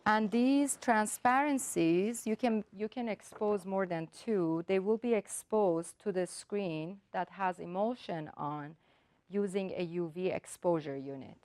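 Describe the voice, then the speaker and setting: A woman whose first language is Persian, an artist calmly explaining her work and technique step by step, often pausing with ums and uhs. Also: medium pitch, no echo. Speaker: artist giving a demonstration.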